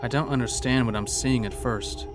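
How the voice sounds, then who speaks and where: A man's voice: medium pitch, fairly even intonation, moderate pace. A man narrating a scripted first-person horror story in a dramatic storytelling manner. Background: background music.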